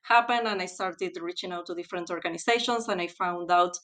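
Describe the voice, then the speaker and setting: Woman on a podcast, smooth clear voice, thinks out loud with lots of ums. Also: on the low side. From Argentina. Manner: thoughtful, with fillers and pauses. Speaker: guest, textile specialist. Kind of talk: podcast interview.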